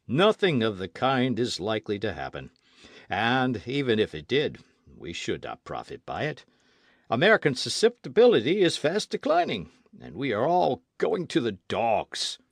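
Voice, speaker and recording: Storybook role: a pessimistic retired colonel, read by a man, grumbling at mid pitch. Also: wildly swooping pitch, normal speed, no echo. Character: gloomy retired male army colonel.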